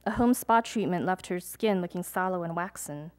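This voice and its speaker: A young adult woman, a poet, reading her poems aloud at a live event, measured and deliberate, with chatty, hesitant asides between pieces. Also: fairly low pitch, a little mellow. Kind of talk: poetry reading with casual asides to an audience.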